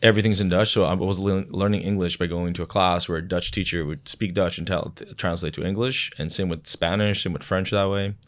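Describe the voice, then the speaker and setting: A man from Aruba, a native Papiamento speaker, chats loosely on a podcast. His voice sits very low. He wanders through his stories with plenty of fillers. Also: fairly even intonation, brisk, few pauses. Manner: rambling storyteller with frequent fillers. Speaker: DJ guest. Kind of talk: casual podcast chat.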